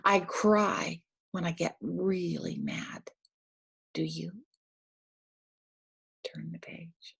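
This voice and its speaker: An older woman reads a picture book aloud to toddlers, speaking simply with questions and little instructions, in a breathy, husky voice. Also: lowish voice, steady tone, drawn-out words, long pauses. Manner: simple, instructive, asking the child questions and giving prompts. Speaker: storyteller for young children.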